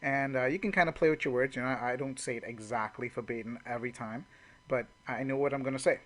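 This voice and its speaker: A man's voice: medium pitch, fast talker. A man giving an informal sales training talk in a casual, conversational way, often saying "you know".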